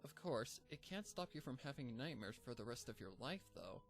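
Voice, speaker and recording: A man reading a fanfic aloud, voicing an earnest, bookish young female pony in a gentle, lightly raised voice. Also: medium pitch, expressive, normal speed, soft background music, natural voice. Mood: reassuring.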